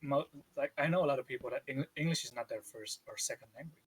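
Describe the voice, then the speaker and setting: A man whose first language is Vietnamese chats casually on a podcast, his voice husky and a little breathy. He tells stories in an animated, rambling way at a middle pitch. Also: fast talker, low-quality recording. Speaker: podcast co-host. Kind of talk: casual chat.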